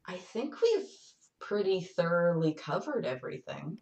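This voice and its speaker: Deep-voiced woman in an echoey room. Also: sing-song, a little slow, creaky, darkish tone, muffled recording. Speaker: podcast guest. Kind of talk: casual podcast discussion. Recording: roomy, echoing voice track.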